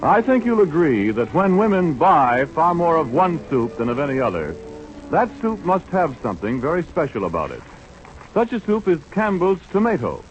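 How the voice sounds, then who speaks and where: Old-time radio pitchman, male, American: raised register, animated, emphatic, slightly muffled recording. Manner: polished, emphatic salesmanship. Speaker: radio announcer and sponsor's pitchman. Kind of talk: 1930s radio advertising announcement. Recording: dull vintage 1930s radio recording.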